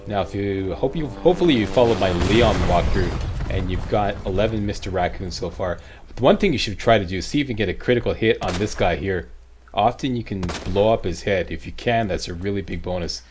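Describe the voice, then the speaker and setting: Low-voiced Canadian man, game walkthrough commentary. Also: animated, brisk, darkish tone, slight background noise. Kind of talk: informal instructional gameplay commentary. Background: game sound.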